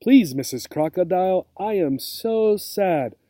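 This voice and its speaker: Storybook mother kangaroo, played by a man: anxious, gentle, pleading, slightly high, soft-toned. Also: expressive, measured pace, dry recording, clean studio sound. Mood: sad, pleading.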